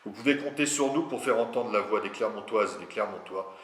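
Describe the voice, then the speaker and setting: A man's voice: on the low side, fairly quick, a bit bright. A man giving a prepared political address as a local politician, speaking in a formal, didactic manner. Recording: echoey room.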